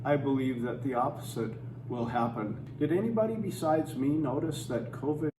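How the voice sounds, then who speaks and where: A man's voice: medium pitch, normal speed. A man delivering a scripted campaign speech, preachy and emphatic with religious conviction. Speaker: anti-legalization campaigner. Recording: roomy and distant with faint noise.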